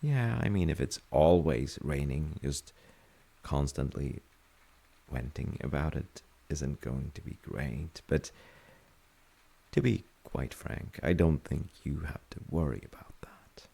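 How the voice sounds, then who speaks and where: A man playing a gentle, thoughtful, reassuring dad in a soft-spoken comfort roleplay, hesitant and reflective, with a husky, gravelly voice. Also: rumbling low, expressive, exaggerated voice. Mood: tender, reassuring. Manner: hesitant, reflective, pausing mid-sentence. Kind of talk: soft-spoken sleep-aid audio roleplay.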